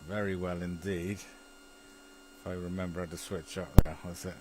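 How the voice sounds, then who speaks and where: A man's voice: deep voice, flat delivery, moderate pace, very noisy. A British man in a rambling, chuckly casual chat, often muttering to himself as he operates an amateur television link.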